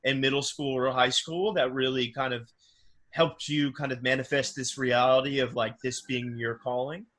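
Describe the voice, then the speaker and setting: A man in his thirties hosting a casual podcast interview, relaxed and friendly with frequent "yeah" and "you know". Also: mid-range voice, moderate pace. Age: 30s. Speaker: podcast host.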